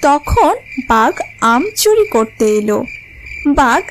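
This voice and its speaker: A woman's voice: high-pitched, sing-song, slow pace, a little hiss or hum. A woman narrating a scripted children's cartoon story.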